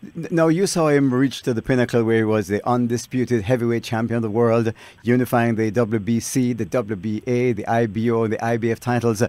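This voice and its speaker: A man hosting a radio interview, asking measured questions full of small fillers. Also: medium pitch, fairly even intonation, darkish tone, no room sound. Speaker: radio host.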